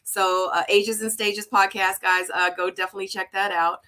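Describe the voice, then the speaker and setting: A woman's voice: medium pitch, level tone, normal speed, pushed and bright. A woman hosting a casual interview, speaking in an informal, effusive and encouraging way. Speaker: interview show host.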